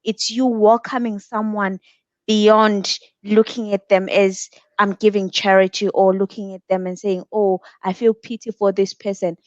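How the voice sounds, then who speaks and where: Young adult woman from Zimbabwe, guest speaker; earnest, measured delivery; dry, muffled lo-fi audio. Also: medium pitch, level tone, dry recording. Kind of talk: reflective online talk. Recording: dull, dry lo-fi sound.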